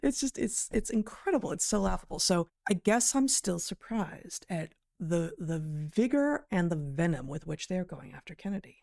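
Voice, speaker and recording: A woman giving deliberate, articulate conversational commentary as a podcast co-host, pausing mid-sentence. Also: on the low side, expressive, vocal fry, dark tone. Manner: deliberate, articulate, hesitant pauses mid-sentence, emphatic word choices. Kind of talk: conversational commentary on news, reading out quotes.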